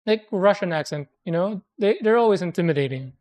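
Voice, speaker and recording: Woman, Filipino accent, slightly low voice, casual opinionated chat. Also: animated, a little fast, a little mellow. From Philippines.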